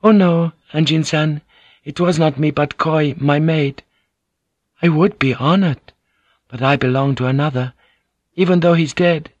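A man voicing a gentle, composed Japanese noblewoman in an audiobook, speaking in courteous, archaic phrasing. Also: a little high, fairly even intonation, a little slow, long pauses, dry recording, dull audio, pitched above the performer's own voice. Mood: placating, composed.

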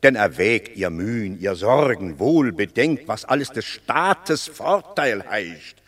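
An actor playing a wily, eloquent Roman patrician in a radio drama, theatrical and rhetorical, placating rebels with fables. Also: male voice, lowish voice, lively intonation, heavily acted. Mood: emphatic lecturing. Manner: theatrical, deliberate, rhetorical, swinging from hushed to declaiming. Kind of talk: classical German stage-style radio drama acting. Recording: long reverberant hall.

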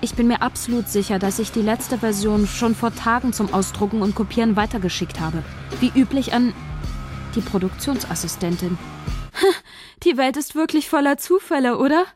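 An actress narrating as a shy young woman scriptwriter in a radio drama, quiet and wry. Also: on the high side, normal speed, music in the background, close to an everyday voice.

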